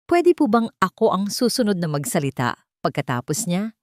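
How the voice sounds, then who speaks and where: A woman voicing a betrayed wealthy wife, composed and calm with cold determination. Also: medium pitch, moderate pace, dry recording, studio quality, pitched above the performer's own voice, lightly acted. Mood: polite, composed.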